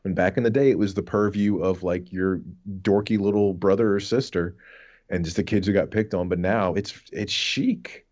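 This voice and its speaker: Male podcast co-host, thirties, low voice, loose rambling nostalgic talk with swearing, slightly dull audio. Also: steady tone, normal speed, a little mellow. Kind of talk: casual reminiscing podcast chat.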